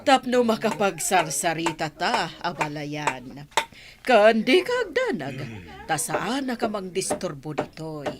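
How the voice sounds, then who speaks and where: A woman's voice: fairly low pitch, big pitch swings, unhurried, noisy background. A woman playing a chummy, talkative middle-aged in-law in an Ilocano radio drama. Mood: chummy, amused.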